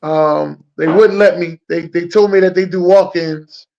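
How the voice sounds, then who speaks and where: A man with an American accent and a fairly high voice chats as an interviewer. His delivery is laid-back and slangy, with repeated phrases and plenty of gravel. The audio is dull and lo-fi. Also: steady tone, a little slow. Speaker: podcast host interviewing a guest. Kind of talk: casual interview chat.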